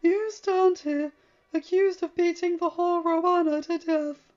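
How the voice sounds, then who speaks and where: A woman's voice: very high-pitched, fairly even intonation, measured pace, darkish tone, pitched above the performer's own voice. A woman voicing a wheezy, formal old man who serves as grand maester, recorded dull and lo-fi over music.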